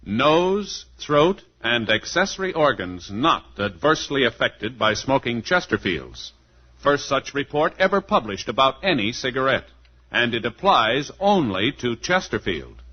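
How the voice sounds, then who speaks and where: A man's voice: mid-range voice, very animated, unhurried, a little hiss or hum. A man with an American accent giving a formal, declarative scripted read in a 1950s radio advertisement. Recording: muffled vintage radio recording.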